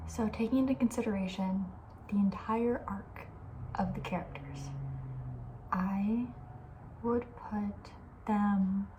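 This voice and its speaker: A woman speaking in a soft, breathy ASMR style, often slipping into a whisper, chatting casually in a gentle, hesitant way. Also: medium pitch, steady tone, takes it slowly, pauses often, background noise. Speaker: ASMR video creator, host.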